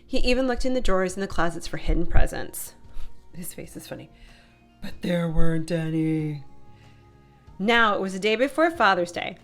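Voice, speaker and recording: A woman's voice: lowish voice, animated, normal speed, a bit bright. A woman narrating a children's picture book as a read-aloud storyteller. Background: music.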